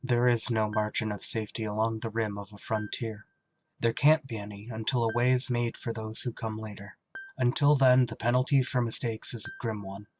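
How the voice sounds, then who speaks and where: A man's voice: on the low side, flat delivery, normal speed, lightly acted, rough recording. A man acting a wry, duty-bound shuttle pilot in a scripted retro radio drama. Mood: grave narration. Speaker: actor and first-person narrator in an audio drama. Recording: clear background noise.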